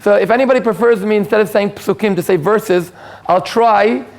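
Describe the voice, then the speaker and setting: High, gravelly Israeli man lecturing. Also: brisk. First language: Hebrew. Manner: self-deprecating, joking asides, frequent restarts and fillers. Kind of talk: informal lecture to an audience. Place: community hall with live audience.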